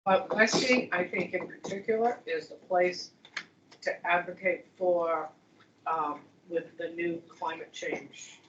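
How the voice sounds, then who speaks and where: A woman speaks in a fairly low voice, musing aloud and restarting her sentences as she goes. She sits far from the microphone, so the sound comes back roomy and echoing. The audio is dull, with a faint room hiss. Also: fairly even intonation, slow. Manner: rambling, thinking aloud with many restarts. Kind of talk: casual discussion. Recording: distant echoey room mic, dull sound.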